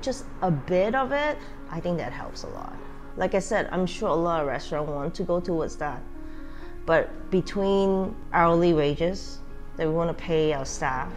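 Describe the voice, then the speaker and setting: Earnest low-voiced woman from Malaysia. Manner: conversational, earnest. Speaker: tea room owner. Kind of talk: interview testimonial. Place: her tea restaurant dining room.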